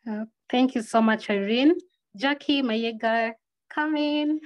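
A woman's voice: on the high side, slow, highs cut off. Kenyan woman moderating online. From western Kenya. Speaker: host, moderator. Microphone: video call.

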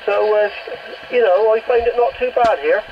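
A man's voice: sits high, moderate pace. A man chatting casually as a CB operator over a two-way radio. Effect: two-way radio transmission. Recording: dull, faintly noisy CB radio reception.

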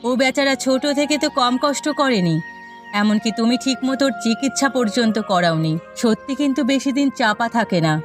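A woman's voice: on the high side, fairly quick, over music, lightly acted. A woman voicing an anguished, tender village mother with pleading, emotional delivery in a melodramatic moral-story cartoon. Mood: reproachful, pleading.

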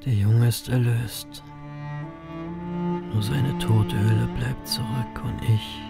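A man's voice: fairly low pitch, gravelly. A man playing a grim, world-weary ferryman reaper, narrating a horror audio drama tersely and wryly. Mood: brooding.

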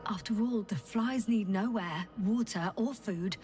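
A woman playing a calm, analytical scientist in a video game, explaining in a measured, slightly breathy way. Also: mid-range voice, faint music behind, close to an everyday voice. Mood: grave. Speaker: game character, doctor. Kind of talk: dramatic video game dialogue.

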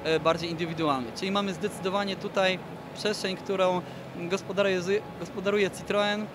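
High-voiced man, hesitant and even. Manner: hesitant, measured delivery with frequent drawn-out hesitation sounds. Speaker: car brand PR manager, interviewee. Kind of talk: promotional product presentation in an interview. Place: large indoor car show hall. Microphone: handheld interview microphone held toward him. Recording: noisy busy exhibition hall background.

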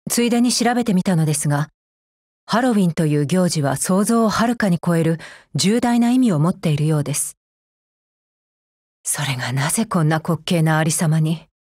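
A woman's voice: fairly low pitch, a little fast, darkish tone, no echo, clean studio sound, close to an everyday voice. A woman playing a cold, efficiency-minded female combat android in a mobile game, speaking formally in a clipped, stern, unemotional way. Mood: matter-of-fact, stern. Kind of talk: scripted mobile game character voice lines.

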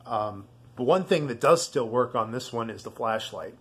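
A man giving a casual product demonstration in a halting, rambling, easygoing way. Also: medium pitch, expressive, husky. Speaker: video host.

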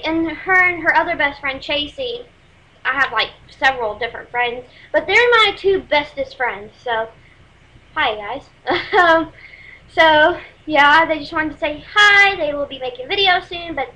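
Teenage girl, Texas accent, high voice, bright tone, chatty and apologetic, webcam mic in a small room. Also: lively intonation, normal speed, poor audio. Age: teens. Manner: rambling, apologetic, many fillers. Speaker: music vlogger. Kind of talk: casual webcam review. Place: small room at home, indoors. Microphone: webcam built-in mic.

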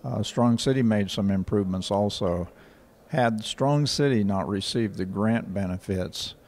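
An older man, answering interview questions in a measured, factual way with frequent pauses. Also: on the low side, darkish tone. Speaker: rural water association director. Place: indoor office in front of a painting. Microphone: handheld station mic.

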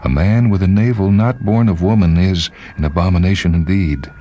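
A man's voice: sits low, normal speed, muffled recording, slight background noise, pitched above the performer's own voice, natural voice. A man voicing a distraught, bitter middle-aged woman in a short-story reading, with anguished exclamations. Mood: anguished, agitated.

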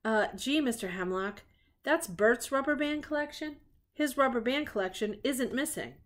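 A woman voicing a gentle, slightly forlorn young male storybook friend who politely and hesitantly corrects people. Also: sits high, lively intonation, normal speed. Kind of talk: children's storybook read-aloud.

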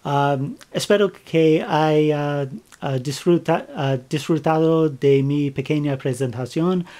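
Halting man with a learner's Spanish. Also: fairly high pitch, warm tone. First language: not Spanish. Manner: hesitant, many fillers and restarts, searching for words. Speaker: flag-craft tutorial maker. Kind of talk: halting how-to narration.

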